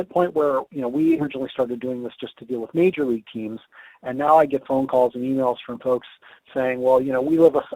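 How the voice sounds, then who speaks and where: A man's voice: medium pitch, no echo, rough recording. A man losing his voice, giving a webinar talk and answering questions in a conversational way with many fillers and restarts. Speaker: tobacco control advocate presenter.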